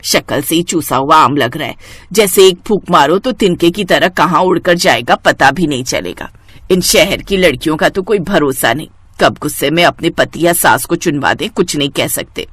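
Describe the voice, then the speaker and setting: A woman's voice: deep voice, lively intonation, moderate pace, fairly bright tone, slightly rough, no room sound, voice pushed down, heavily acted. A woman voicing an anxious, gossipy middle-aged mother-in-law with a dramatic, grumbling manner. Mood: appalled, grumbling.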